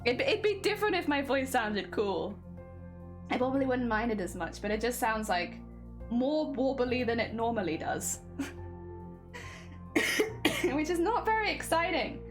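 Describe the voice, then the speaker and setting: A young woman talks casually as she sews on a live stream, rambling through filler words. Her fairly high voice swings up and down a lot, and a slight winter cough roughens it. Quiet music plays underneath. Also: very animated, a little slow, pauses often. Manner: chatty, rambling, lots of fillers. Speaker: craft streamer. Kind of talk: casual live-stream chat while sewing.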